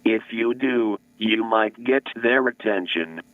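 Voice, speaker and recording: A very tinny, radio-like horror tape voice, acted by a man, slow and ominous. It delivers measured warnings in a lowish pitch, as if from an old analog broadcast. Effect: lo-fi radio filter. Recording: tinny, narrow-band analog tape playback.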